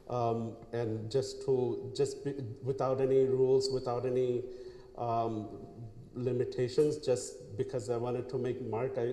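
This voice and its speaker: A man's voice: medium pitch, dark tone. A young man from Bangladesh giving an artist talk in a gallery, hesitant, with many fillers and restarts. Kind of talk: casual artist talk, answering questions. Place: gallery hall. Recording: echoey hall, noisy room.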